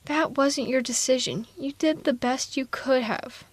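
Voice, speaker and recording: A woman's voice: sits high, unhurried. A woman playing a blunt but protective and caring female supervillain in a casual, wry, conversational ASMR audio roleplay. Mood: indignant, protective. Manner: casual, wry, reassuring, exasperated.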